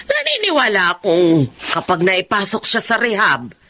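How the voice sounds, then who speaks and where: A woman's voice: mid-range voice, moderate pace, heavily acted. An actress playing a caring, emotional older mother in a radio drama, given to exclamations. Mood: pleading. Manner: expressive, exclamatory.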